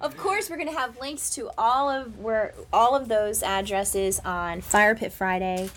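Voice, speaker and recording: A woman's voice: mid-range voice, unhurried, fairly bright tone. A woman hosting a casual group podcast interview in an effusive, encouraging way, with her guests in the room. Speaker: podcast host. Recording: noisy room recording.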